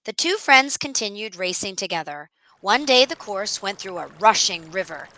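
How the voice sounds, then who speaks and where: A woman narrating a children's story in an expressive read-aloud style, with dramatic emphasis on action words. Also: medium pitch, normal speed, low-quality recording.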